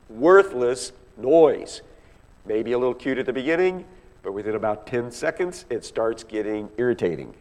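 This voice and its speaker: Animated male Bible teacher in an echoey hall. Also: mid-range voice. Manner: emphatic, conversational, often checking in with rhetorical questions. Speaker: Bible class teacher or preacher. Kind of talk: expository Bible teaching with questions to listeners. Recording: echoey hall with long reverb.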